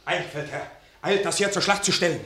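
An actor playing an alarmed army officer in a classical radio drama, speaking with urgent agitation. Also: male voice, high voice, a little fast, fairly bright tone, echoey room. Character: alarmed army officer urging his commander on. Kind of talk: classical German stage-style radio drama.

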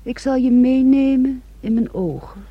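An actress playing an intense, wounded young woman in a Dutch radio play, hushed and intimate, often slipping toward a whisper, on a muffled old recording. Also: sits high, lively intonation, a little slow, husky, dry recording, exaggerated voice. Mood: wistful.

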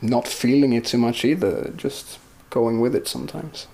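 A man's voice: mid-range voice, steady tone, normal speed, vocal fry, darkish tone, poor audio. A man whose first language is Swedish, a musician giving casual, reflective interview answers as he searches for his words. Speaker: musician guest.

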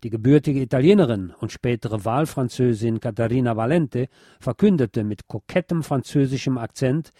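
A man reading a scripted cultural essay aloud in a measured, formal, literate manner. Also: medium pitch, mellow, no echo, studio quality. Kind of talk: scripted spoken essay on pop history, read aloud.

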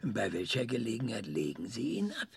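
Cranky old obsessive scientist in a radio play, creaky voice, swings up and down a lot, hesitant. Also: male voice, lowish voice, very animated, a little fast, a little hiss or hum, exaggerated voice. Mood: insistent, probing. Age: older. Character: aggressive, eccentric scientist, obsessed with dangers of moon glass. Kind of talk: radio drama acting.

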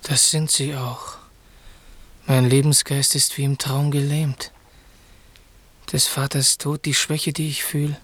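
An actor playing a grieving, noble young prince in a classic German radio drama, speaking lofty verse in a husky, declamatory way. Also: male voice, medium pitch, flat delivery, slow pace, pauses often, a bit bright. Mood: weary, yearning.

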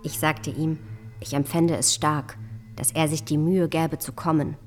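A woman's voice: sits low, steady tone, measured pace, soft background music. A woman playing a dignified, determined queen who recounts events earnestly and formally in a historical radio drama. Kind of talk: dramatized reading of historical memoirs.

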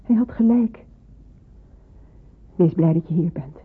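A woman's voice: on the low side, slow pace, breathy edge, exaggerated voice. An actress playing a stern, practical middle-aged mother of a wartime household, firm and admonishing, in a radio play. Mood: firm, reassuring. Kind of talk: radio drama acting. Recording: tinny, narrow old radio recording.

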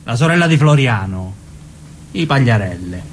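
A man's voice: mid-range voice, expressive, normal speed, a little hiss or hum, close to an everyday voice. A man playing a cocky, crude young gangster in a radio drama, with a southern Italian accent and slangy, swaggering talk. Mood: cocky, teasing. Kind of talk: naturalistic radio drama dialogue.

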